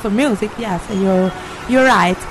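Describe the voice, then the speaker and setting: An Italian woman hosting a travel vlog, chatty and lively, halting and careful when she switches to English with an Italian accent. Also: medium pitch, noisy background. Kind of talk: casual on-the-street presenting.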